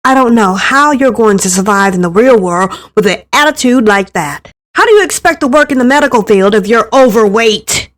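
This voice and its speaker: A woman voicing a stern, critical middle-aged mother with a sharp, indignant, lecturing manner in a visual novel story. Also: on the high side, lively intonation, moderate pace, few pauses. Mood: angry scolding.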